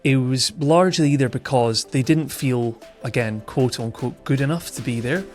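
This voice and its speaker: A man's voice: mid-range voice, moderate pace, a little mellow, faint music behind. A man in his thirties from Scotland, a podcast guest telling his own story thoughtfully, with earnest restarts and fillers. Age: 30s. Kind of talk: reflective personal storytelling.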